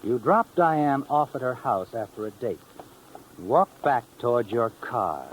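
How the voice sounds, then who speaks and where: An actor playing the ominous, all-knowing narrator of a 1950s radio crime tale, dramatic and insinuating. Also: male voice, medium pitch, animated, unhurried, a little hiss or hum, lightly acted, low-quality recording. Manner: insinuating, dramatic, with leading rhetorical questions. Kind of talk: 1950s radio suspense narration in second person. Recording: old radio recording with music underneath.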